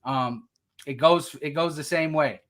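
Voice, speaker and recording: An energetic man hosts a recovery live show. He speaks a little higher than most men and repeats his phrases with a preacher's rhythm. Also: measured pace. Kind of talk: upbeat host introduction.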